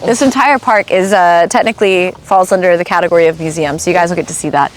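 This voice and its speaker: A woman's voice: fairly low pitch, fast talker. A young woman from North Carolina hosting a casual travel livestream outdoors, chatty and giggly with lots of fillers. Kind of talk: casual live chat while touring. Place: outdoors at a historic fortress park. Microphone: clip-on mic.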